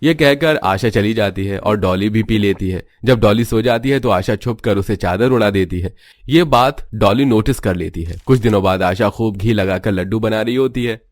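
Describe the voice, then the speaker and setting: A man narrating a cartoon family story in a steady, scripted storytelling manner. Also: on the low side, moderate pace, no room sound.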